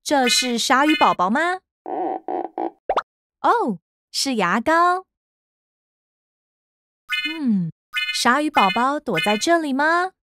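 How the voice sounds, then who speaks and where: A woman voicing a gentle, playful mother shark in a Taiwanese Mandarin children's cartoon, patient and coaxing. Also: sits high, big pitch swings, slow, pauses often, background noise, heavily acted. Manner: sing-song, coaxing, patient. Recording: children's music behind voice.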